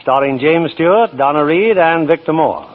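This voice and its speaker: A man's voice: on the high side, animated, normal speed. A man announcing in scripted 1940s radio style. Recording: very noisy, tinny old radio broadcast.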